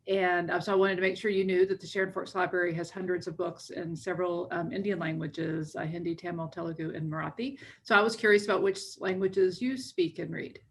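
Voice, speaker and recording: A woman with a low, gravelly voice speaks in a friendly, informative way. She represents a library and is heard over a video call. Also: steady tone, brisk, hardly any pauses, slight background noise. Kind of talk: casual meeting talk.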